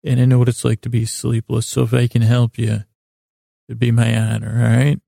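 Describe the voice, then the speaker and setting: A man hosting a sleep podcast with a rambling, improvised bedtime monologue, gravelly and halting, often stammering and correcting himself. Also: mid-range voice, monotone, mellow, no echo. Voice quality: gravelly, creaky voice.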